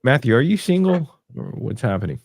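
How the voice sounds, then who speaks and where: A man's voice: medium pitch, sing-song, fairly quick, vocal fry, darkish tone, no room sound. A man in his forties chatting casually on a livestream, joking and teasing with rambling questions. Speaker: livestream panelist.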